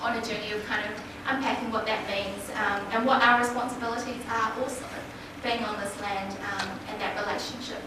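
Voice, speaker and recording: A woman theatre producer giving an informal, thoughtful talk at a forum, pausing often with ums. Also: mid-range voice, steady tone. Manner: hesitant, many ums, thoughtful. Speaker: theatre producer and panel speaker. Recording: echoey hall from far off, noisy.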